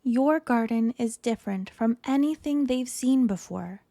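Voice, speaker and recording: Woman as a calm, gentle storybook mother. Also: a little high, measured pace, no room sound, clean studio sound. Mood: gently encouraging. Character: reassuring, supportive mother of a young girl.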